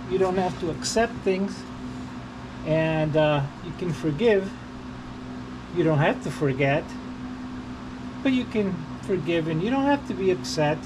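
A man from Portugal, chatting casually in a halting, rambling, unscripted vlog style while he works. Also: sits high. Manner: halting, rambling, repeats himself. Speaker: vlogger. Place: working on a car during an oil change. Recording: noisy, with vehicle and ambient hum.

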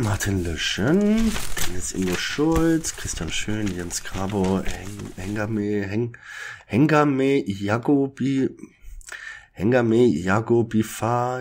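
A man playing a fussy, bookish young detective in a parody detective audio drama, with a pedantic manner. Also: medium pitch. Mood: focused.

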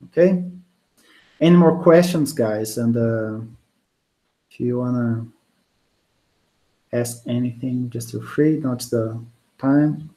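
A man in his thirties speaks English with a Brazilian Portuguese accent, giving an easygoing online university lecture. His pitch sits in a middle range, and he talks in a loose, conversational way, full of fillers and restarts. He is recorded in a small home office through a wired earphone mic on a video call. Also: takes it slowly, pauses often, low-quality recording. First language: not English. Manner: relaxed, conversational, many fillers and restarts, gestures with hands. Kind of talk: casual online lecture answering student questions.

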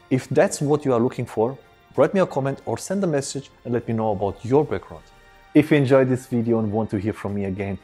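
Energetic German-native man coaching on camera. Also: medium pitch, husky. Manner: energetic, gestures a lot with both hands. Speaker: fitness coach. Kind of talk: direct-to-camera course introduction. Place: large indoor lounge room. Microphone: clip-on lapel mic.

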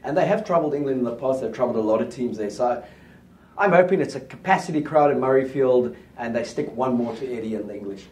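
A middle-aged rugby pundit talking in a small studio, confident and steady as he lays out his predictions. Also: mid-range voice, quick pace, distant mic. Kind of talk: casual rugby chat. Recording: roomy studio sound, mic set back.

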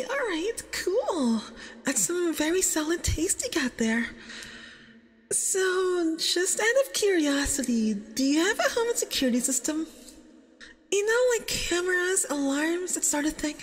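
A woman's voice: very high voice, heavily acted. A woman playing a nervous, jealous, obsessive young femboy boyfriend in an ASMR roleplay, with a flustered, stammering, possessive manner. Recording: long reverb, doubled voice track.

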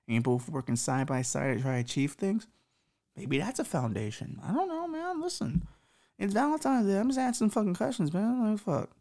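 A man's voice: a little high, creaky, a little mellow. A man in his thirties with a slight lisp, hosting a podcast and rambling profanely through relationship advice. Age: 30s. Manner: profane, rambling, self-mocking. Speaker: podcast host.

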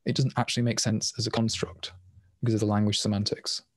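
A man, a programming language designer, answers questions on a video call in a quick, rambling way with restarts. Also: fairly low pitch, flat delivery, no room sound. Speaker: language designer presenting at a meetup. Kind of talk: casual Q&A answers. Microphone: webcam on a video call.

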